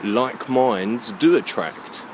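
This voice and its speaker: Musing man, noisy outdoor recording. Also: mid-range voice. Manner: musing, halting, self-questioning. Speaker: vlogger. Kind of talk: rambling walk-and-talk monologue. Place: outdoors, walking along a muddy alleyway.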